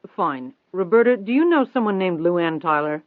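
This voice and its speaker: A man voicing a persistent, confident newspaper reporter in an audiobook, steady, probing and insistent. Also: sits high, moderate pace, no room sound. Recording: thin phone-like band-limited audio.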